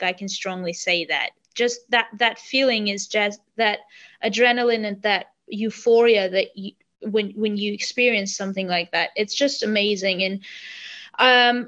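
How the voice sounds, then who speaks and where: A woman, a singer talking earnestly in an interview, often pausing and restarting. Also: medium pitch, a bit bright, muffled recording. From Armenia. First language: Armenian. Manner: earnest, halting with restarts and ums. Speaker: singer guest. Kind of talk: casual interview chat.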